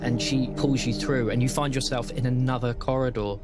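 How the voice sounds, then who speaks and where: A British man narrating as game master of a tabletop game, measured and slowing for suspense. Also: mid-range voice, level tone. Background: loud music.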